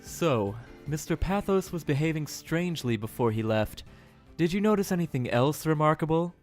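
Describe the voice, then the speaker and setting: A man's voice: fairly high pitch, lively intonation, moderate pace, faint music behind, close to an everyday voice. A man playing a cool, exacting prosecutor in an audio drama, speaking formally and with composure. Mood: cool, insistent.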